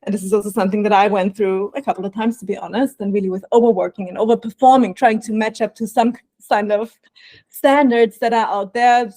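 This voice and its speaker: A woman's voice: medium pitch, steady tone, fairly quick, dark tone, no room sound. A woman from Austria with an Austrian accent, a burnout prevention coach telling her story in a podcast interview, reflective and laughing often.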